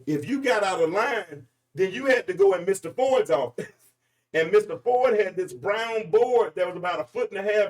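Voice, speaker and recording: A man in his forties talks off the cuff, his voice sitting a little high for a man. He preaches emphatically, restarting sentences as his thoughts pile up. The mic is a bit far from him. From Arkansas, US. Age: 40s. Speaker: podcast host. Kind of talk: freestyle commentary monologue.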